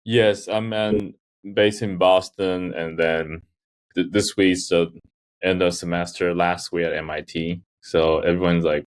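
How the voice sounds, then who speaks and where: Deep-voiced man. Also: fairly even intonation, unhurried. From Taiwan. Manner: rambling, many "like" fillers, laughs often. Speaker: guest, design researcher and teaching assistant. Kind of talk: casual interview chat.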